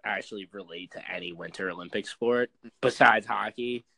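A man's voice: on the low side, normal speed, creaky, sharp tone, dry recording. A young man co-hosting a casual chat, easygoing and agreeable, often laughing as he talks.

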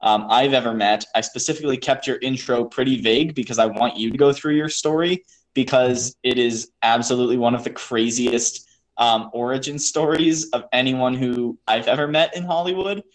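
Male podcast host, middle-pitched, casual and rambling. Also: moderate pace, rough recording. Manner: warm, rambling, many fillers and restarts. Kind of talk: casual interview chat.

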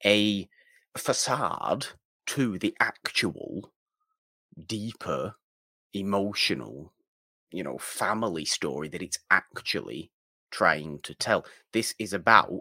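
Male podcast co-host, low and forceful, casual film talk, restates points emphatically, dry recording. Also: expressive, slow pace, long pauses, no room sound.

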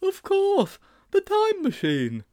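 A man voicing a small, timid schoolboy in a storybook, dithering and fretful with a hesitant, drawn-out delivery. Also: extremely high pitch, sing-song, darkish tone, pitched above the performer's own voice, full character voice. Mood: nervous, evasive.

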